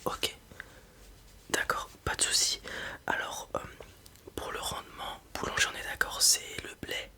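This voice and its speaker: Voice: a little slow, pauses often, pushed and bright. A person whispers an ASMR roleplay as a breeder advising a customer, explaining informally step by step with hesitations and little check-ins.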